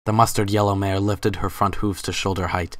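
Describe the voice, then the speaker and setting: A man's voice: deep voice, little pitch movement, a little fast, dry recording, clean studio sound. A man narrating a scripted story as a dramatic reading.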